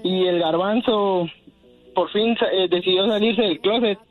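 A man calls in over the phone and haltingly tells a long story. He stops and restarts often. Faint music plays under the line. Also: high voice, phone-quality sound. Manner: hesitant, rambling storyteller. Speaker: caller. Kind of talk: call-in phone chat.

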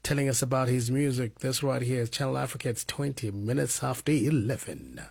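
A man's voice: medium pitch, animated, a little fast, vocal fry, heavy background noise. Easygoing male radio host. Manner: easygoing, encouraging with soft "mm" backchannels. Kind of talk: radio interview.

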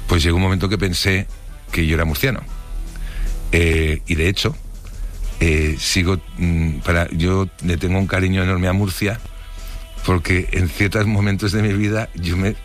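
A middle-aged man with an accent from Spain, recalling his life in a casual, colloquial way, halting and reflective as he goes. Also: deep voice, fairly even intonation, soft background music. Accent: Spanish from Spain. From Barcelona, Spain. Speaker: interviewee telling his life story. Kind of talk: casual spoken recollection.

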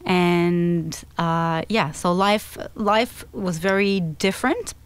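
A woman's voice: on the low side, slow, clear, resonant tone. A woman from Egypt, a guest on an interview show, telling her life story in a candid, reflective way. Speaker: interview guest, author and speaker.

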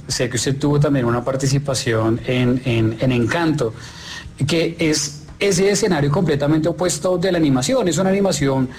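A man interviewing in a conversational but formal, polite way, feeling his way through his questions with halting phrasing. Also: mid-range voice, darkish tone.

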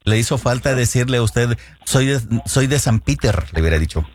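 A man's voice: mid-range voice, expressive, fairly quick, darkish tone. A man hosting a radio advice show, who asks questions hesitantly and defers to his guest. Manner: hesitant, many "eh" fillers, deferential.

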